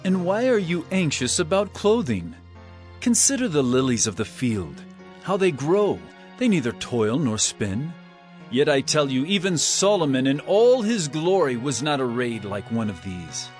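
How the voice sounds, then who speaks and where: A man playing an earnest, solemn male teacher with authority in a dramatized scripture reading, speaking in a measured, emphatic way. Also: a little high, very animated. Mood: earnest teaching. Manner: measured, emphatic, rhetorical questioning. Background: music.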